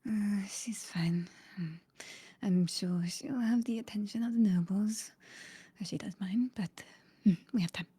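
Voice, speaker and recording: A woman playing a guarded, discreet adventurer in a tabletop game, speaking in hushed, hesitant asides. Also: mid-range voice, a little slow, slightly rough.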